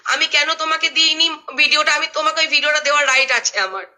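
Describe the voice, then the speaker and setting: Casting: middle-aged woman, emphatic phone talker, very sharp forceful tone, rapid delivery. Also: sits high. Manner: emphatic, repeatedly seeking confirmation. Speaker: social media creator. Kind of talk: phone conversation, explaining at length.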